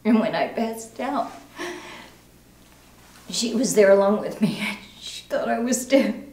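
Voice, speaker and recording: A woman performing a dramatic monologue as a guilt-ridden recovering alcoholic mother, halting, sombre and confessional. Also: medium pitch, animated, darkish tone. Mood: anguished, remorseful. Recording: roomy echo, faint noise.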